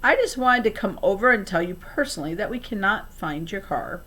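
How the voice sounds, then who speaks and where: A woman's voice: mid-range voice, expressive, fairly quick, fairly bright tone. Woman as an earnest, polite storybook schoolgirl.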